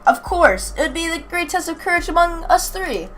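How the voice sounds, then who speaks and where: A woman's voice: extremely high pitch, sing-song, moderate pace, a bit bright, slight background noise, pitched above the performer's own voice, heavily acted. A woman voicing a confident, teasing teenage boy who leads his friends in a visual novel. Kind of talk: visual novel character read-aloud.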